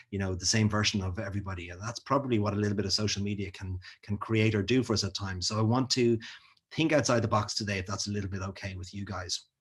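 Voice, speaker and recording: A man speaks to students in a relaxed, encouraging way, full of fillers and friendly asides. His voice sits low and has a husky edge. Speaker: school wellbeing speaker. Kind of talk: casual talk to students on video.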